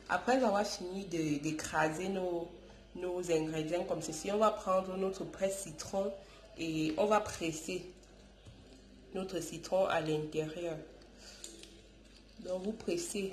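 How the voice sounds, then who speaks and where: A woman's voice: lowish voice, steady tone, slow, echoey room. A woman with a stuffy voice from a cold, hosting a home remedy tutorial and calling her viewers darlings, often restarting her phrases. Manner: affectionate, calls viewers darlings, repeats and restarts. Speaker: YouTube channel host. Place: home, indoors.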